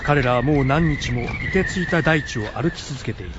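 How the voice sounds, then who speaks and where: A man's voice: medium pitch, normal speed, highs cut off, background noise. A man narrating a scripted radio drama with solemn, dramatic storytelling.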